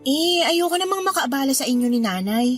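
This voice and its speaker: Casting: defiant young eldest daughter, very high voice, snippy, radio drama with soft music behind. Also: female voice, lively intonation, fairly quick. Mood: weary, resentful. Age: young adult. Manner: snippy, complaining, stubborn.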